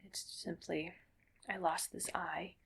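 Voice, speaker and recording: A woman playing a gentle, weary cyborg soldier in a whispered ASMR roleplay, speaking breathily in slow, halting, soothing phrases. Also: fairly low pitch, little pitch movement, gravelly, slightly rough, exaggerated voice. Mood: calm, reassuring.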